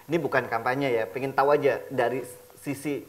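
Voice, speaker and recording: A man's voice: mid-range voice, fairly even intonation, a little fast. A man hosts a casual celebrity interview. He is playful and slangy, and drops English words into his talk. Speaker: talk show host.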